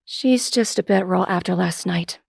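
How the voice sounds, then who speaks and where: A woman's voice: fairly low pitch, normal speed, vocal fry, a little mellow, husky, no room sound, clean studio sound, full character voice. A woman playing a gushing, insistent, protective mother of a teenager in an audio drama. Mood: gushing, hospitable.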